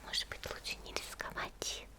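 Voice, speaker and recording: Woman playing a whispering, friendly monster girl, gentle. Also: natural voice. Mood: gentle, attentive. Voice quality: soft breathy whisper. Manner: gentle, unhurried, murmuring to the listener. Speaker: ASMR video creator. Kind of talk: whispered ASMR roleplay.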